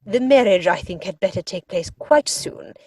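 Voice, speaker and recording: A woman playing an imperious older aristocratic English aunt in a comic stage-play reading, with pompous, deliberate delivery. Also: medium pitch, expressive, a little mellow, slightly rough, no echo.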